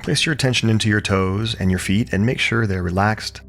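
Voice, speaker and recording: A man leading a guided relaxation as a yoga teacher, speaking calmly and soothingly in short, measured phrases with pauses. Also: fairly low pitch, soft background music. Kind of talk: guided meditation, gentle instruction.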